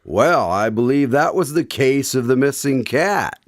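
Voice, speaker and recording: A man's voice: mid-range voice, expressive, unhurried, no echo, lightly acted. A man playing a genial, curious radio announcer who hosts an old-time style drama in a courteous, theatrical way. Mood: friendly, curious. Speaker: announcer and host.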